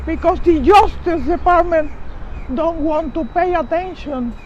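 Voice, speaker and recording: Older woman, Cuban accent, press conference speaker. Slow, deliberate delivery on a dull outdoor recording. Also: extremely high pitch, slight background noise. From Cuba. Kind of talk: public testimony speech.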